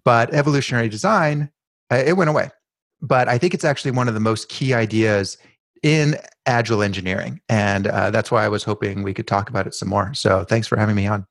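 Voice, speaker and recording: A man appearing as a podcast guest, explaining a software design idea in a measured, thoughtful way. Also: lowish voice, gravelly, no echo.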